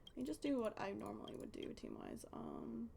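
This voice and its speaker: Creaky-voiced woman streaming a game. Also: on the high side, wildly swooping pitch, mellow, a little hiss or hum. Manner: halting, thinking aloud, self-repeating. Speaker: game livestreamer. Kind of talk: casual gaming stream chat. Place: indoors, streaming with game audio behind.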